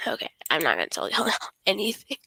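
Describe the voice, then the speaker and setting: Female game streamer, crisp bright tone with fry, breathy, hesitant rambling delivery, pitch swinging widely. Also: wildly swooping pitch, a little fast, dry recording. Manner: hesitant, rambling, often trailing off and apologetic. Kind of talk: casual livestream chat.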